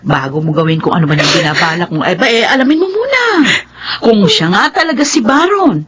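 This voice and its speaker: An actress with a Filipino accent playing a concerned, cautioning middle-aged aunt in a radio drama, in a husky voice. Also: female voice, medium pitch, animated, fairly quick, a bit bright, close to an everyday voice.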